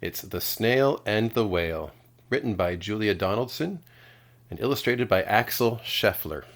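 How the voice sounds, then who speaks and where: Man, storybook narration, kindergarten read-aloud, low-pitched, very animated, expressive. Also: a little slow. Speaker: kindergarten teacher reading aloud.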